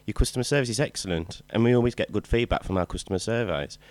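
Man playing stern, low-voiced British manager. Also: quick pace, dry recording, lightly acted. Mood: measured praise. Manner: firm, lecturing. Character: stern, reproachful male shop manager. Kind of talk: audio drama acting.

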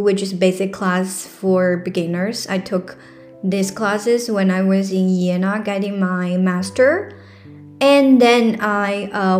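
A young woman from China with a Chinese accent hosts her own podcast in a casual, earnest way, full of fillers and restarts. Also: mid-range voice. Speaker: podcast host. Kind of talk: casual solo podcast talk.

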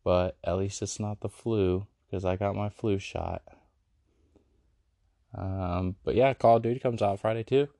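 A man's voice: on the low side, a little slow, vocal fry, no echo. Man, congested, casual podcast host, dry close sound. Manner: rambling, relaxed, lots of fillers. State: congested from a cold. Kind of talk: casual late-night podcast chat. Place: a house with dogs.

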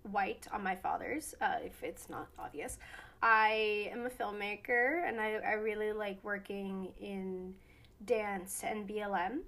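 A woman's voice: mid-range voice, fairly bright tone. An eighteen-year-old woman introducing herself as a roundtable guest, hesitant with frequent fillers. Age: teens. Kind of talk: casual discussion.

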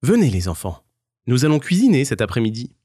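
A man voicing a kindly, gently teasing old grandmother in a children's story. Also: mid-range voice, huge pitch swings, brisk, breathy edge, no room sound, studio quality, voice pushed up. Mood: warm, teasing. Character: kindly, mischievous old grandmother telling a legend.

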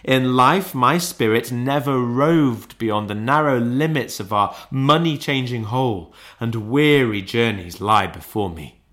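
A man's voice: medium pitch, a bit bright, close to an everyday voice. A man voicing a mournful, remorseful old male ghost in a storybook, with a solemn, lamenting and declamatory delivery. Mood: grave, weary. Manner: declamatory, lamenting, drawn-out emphasis. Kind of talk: dramatised classic ghost story.

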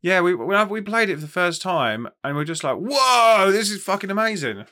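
Bloke hosting a music chat, really bright punchy voice on the higher side, slangy and keen. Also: male voice, sing-song, moderate pace. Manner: enthusiastic, slangy, sweary. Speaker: music show host and interviewer. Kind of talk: casual interview chat.

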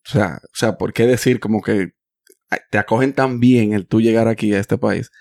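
Gravelly, low-voiced Dominican host. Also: male voice, moderate pace, no room sound, clean studio sound. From Dominican Republic. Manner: calm, affirming, finishes the guest's thoughts. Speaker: podcast host. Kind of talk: casual interview conversation.

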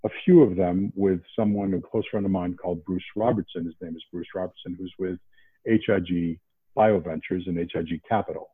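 A man from around Boston, a podcast guest, talking in a casual animated way with many restarts. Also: low voice, fairly quick, slightly rough, dry recording. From New England, outside Boston. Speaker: podcast guest, investment banker. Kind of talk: casual interview talk. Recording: tinny phone-like line.

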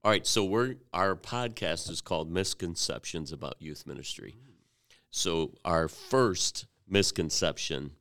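A man from Cleveland hosting a casual church podcast interview, joking and cutting in with quick affirmations. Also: deep voice, fairly bright tone. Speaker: podcast host.

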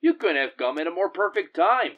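A man's voice: high voice, sing-song, brisk, narrow, tinny audio. A man playing a humble, grateful refugee settler in a space audio drama, earnest and given to awed exclamations.

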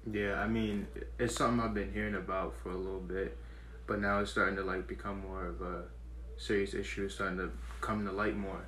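A man's voice: deep voice, flat delivery, fairly quick, fairly bright tone, slight background noise. A young man co-hosting a podcast in easygoing casual chat, hedging with lots of fillers. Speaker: podcast co-host. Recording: roomy echoey recording.

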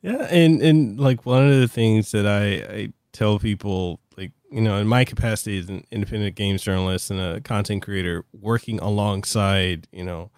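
Earnest podcast host, dry close sound. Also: male voice, lowish voice, darkish tone, smooth, dry recording. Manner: halting and earnest, many "you know" fillers. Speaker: podcast host and games journalist. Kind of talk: casual interview hosting.

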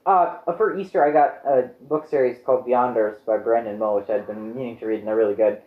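A woman's voice: very deep voice, brisk. A teenage girl co-hosting a podcast in casual family chat. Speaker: podcast co-host. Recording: roomy echo, slightly dull lo-fi sound.

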